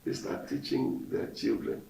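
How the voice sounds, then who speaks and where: A man whose first language is Yoruba, an actor speaking as an interview guest, animated and emphatic, often repeating himself for weight. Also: a little slow, echoey room, slight background noise, poor audio. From Nigeria. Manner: animated storyteller, emphatic repetition. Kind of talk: relaxed interview conversation.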